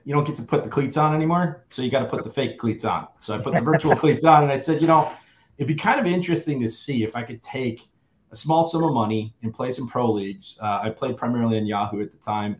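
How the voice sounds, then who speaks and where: A man's voice: medium pitch, quick pace. A man in his early forties from Wisconsin, chatting casually as an interview guest, upbeat with lots of filler words. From Wisconsin, USA. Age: early 40s. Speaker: guest, fantasy football player. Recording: roomy, dull lo-fi sound.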